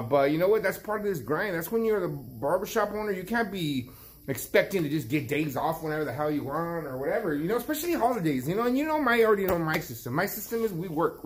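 A middle-aged man chatting casually to his vlog viewers as a barber and shop owner, often repeating phrases for emphasis. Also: a little high. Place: outside a storefront, later inside a barbershop. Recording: noisy ambient background.

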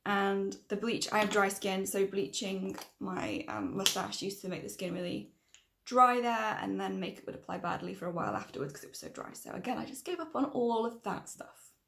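Woman in her 30s, croaky n fry-heavy, rambly casual tutorial chat, bit of a roomy echo. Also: mid-range voice, moderate pace, fairly bright tone. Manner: chatty, rambling, self-correcting. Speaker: makeup tutorial vlogger. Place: small room indoors.

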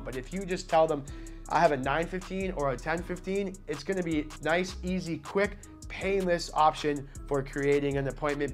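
A man in his thirties speaks as a sales trainer, with a somewhat high-pitched voice. He is direct and informal, coaching the viewer and acting out sample phone lines. Faint music plays behind him. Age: 30s. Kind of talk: informal instructional talk to camera. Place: small office, indoors. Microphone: lapel mic.

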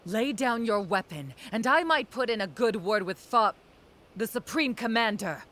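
A woman's voice: a little high, animated, moderate pace, fairly bright tone. A woman voicing a proud, stern young female general in a video game, formal and commanding in manner.

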